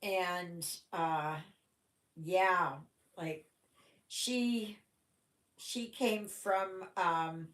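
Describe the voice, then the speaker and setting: A woman's voice: lowish voice, steady tone, extremely slow, long pauses, a bit bright, reverberant. A Canadian woman whose first language was German, hosting a casual stitching livestream and chatting in a rambling way full of "oh my gosh".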